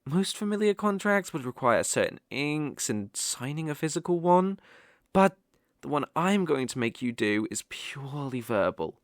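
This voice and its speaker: A man's voice: a little high, very animated, no room sound, clean studio sound. A British man playing a sly, possessive, lovestruck fox-spirit mage in an ASMR audio roleplay, coaxing and easily flustered. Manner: coaxing, slow, with eager flustered outbursts.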